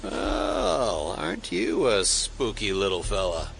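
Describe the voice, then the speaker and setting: A man's voice: fairly low pitch, huge pitch swings, slow pace, creaky, a bit bright, slight background noise, exaggerated voice. An actor playing a bitter, world-weary, cynical man with a sardonic manner in an audio drama. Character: bitter, cynical, lonely man, brooding schemer.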